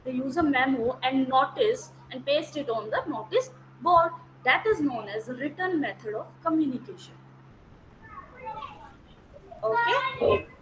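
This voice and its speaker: High bright-voiced woman teaching online. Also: expressive, normal speed, a little hiss or hum, poor audio. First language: Hindi. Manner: explains step by step, repeats key terms, checks understanding. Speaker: academic counsellor. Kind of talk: online lecture. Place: online class. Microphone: video call.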